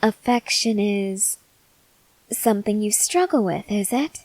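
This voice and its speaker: Woman acting as possessive female vampire, calm, seductive, unhurried delivery, softly stern, close dry sound, ASMR roleplay. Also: mid-range voice, no echo. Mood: questioning, reproachful. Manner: slow, deliberate, softly stern, at times whispering.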